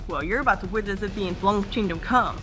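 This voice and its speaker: A woman voicing a cocky, brash young monster-slayer kid in an animated comic dub. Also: medium pitch, fairly quick, over music, natural voice. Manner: brash, boastful.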